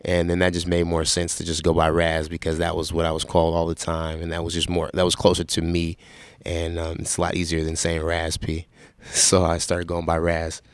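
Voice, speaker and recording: Young man, raspy, very low and flat voice, dry close sound, loose storytelling with fillers. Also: fairly quick, no room sound. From Seattle. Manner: relaxed, rambling storytelling with many fillers. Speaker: rapper guest. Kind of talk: casual interview answers.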